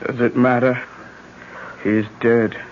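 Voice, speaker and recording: An actress playing a vengeful older voodoo priestess in a classic radio drama, speaking slowly in solemn, incantatory tones. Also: female voice, rumbling low, little pitch movement, slight background noise. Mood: grave, resolute. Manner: slow, incantatory, portentous. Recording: dull lo-fi radio recording.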